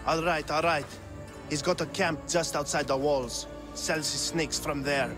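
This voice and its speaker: Man playing a blunt, higher-voiced Athenian thug. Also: fairly even intonation, a little slow, lightly acted. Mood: resigned. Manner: blunt, coarse. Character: rough, defiant working-class Athenian male thug. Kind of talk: video game cutscene acting. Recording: music under the voice.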